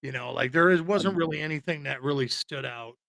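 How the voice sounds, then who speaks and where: A man with a bright, somewhat high voice, recorded dry and close. He co-hosts a podcast, chatting casually about movies. His delivery is loose, rambling and full of opinion. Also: brisk, vocal fry, no echo. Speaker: podcast co-host. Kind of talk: casual film chat.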